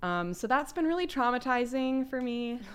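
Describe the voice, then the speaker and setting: A young woman co-hosting a casual podcast, chatty and emphatic with youthful slang, her voice a bit lowered as she recovers from flu. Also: sing-song, normal speed, a little hiss or hum. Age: young adult. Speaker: podcast co-host.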